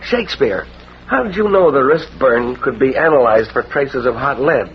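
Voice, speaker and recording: An actor playing a gruff, plain-spoken police sergeant in a 1940s radio mystery, blunt and slangy. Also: male voice, medium pitch, lively intonation, close to an everyday voice. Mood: musing. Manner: blunt, slangy, drawn-out musing. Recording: muffled, noisy vintage radio recording.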